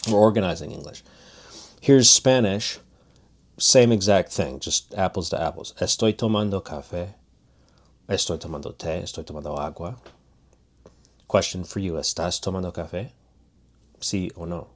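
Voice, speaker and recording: A man's voice: low-pitched, normal speed, long pauses. A man giving a loose, casual spoken language lesson, often restarting his sentences. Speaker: language teacher.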